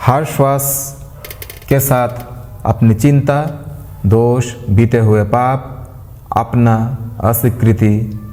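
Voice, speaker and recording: Man, meditation guide, gentle instruction, slow delivery with pauses, soft mellow tone, long hall reverb. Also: medium pitch, fairly even intonation. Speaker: meditation guide, yoga teacher. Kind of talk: guided meditation with mantra chanting.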